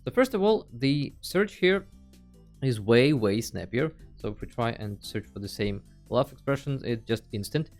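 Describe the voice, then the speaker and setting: Male tutorial host, animated mid-range voice, casual and playful delivery, faint music underneath. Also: mid-range voice, moderate pace. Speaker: host of a video game tutorial channel. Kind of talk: casual tutorial screen narration.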